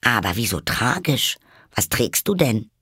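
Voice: medium pitch, sing-song, a little slow, fairly bright tone, husky, no echo, clean studio sound, voice pushed up, exaggerated voice. A reader voicing a curious, polite and eager little girl in a storybook, earnest and exclaiming. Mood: curious wonder.

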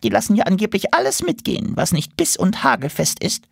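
A man voicing a suspicious little red squirrel that talks quickly in a high voice. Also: wildly swooping pitch, gravelly, breathy edge, no echo, studio quality, full character voice.

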